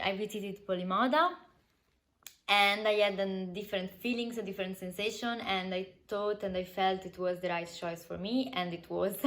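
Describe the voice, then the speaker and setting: Measured young Italian-accented woman. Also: medium pitch, a bit bright. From northern Italy. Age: 20s. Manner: measured, deliberate, carefully chosen words. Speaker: fashion design student. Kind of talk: interview answers.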